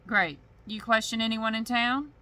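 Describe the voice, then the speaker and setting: A woman's voice: on the high side, measured pace. A woman doing a dry, clipped male investigator. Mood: sarcastic. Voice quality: clipped, deadpan. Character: dry, persistent male investigator, friend of the victim. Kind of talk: bedtime story reading.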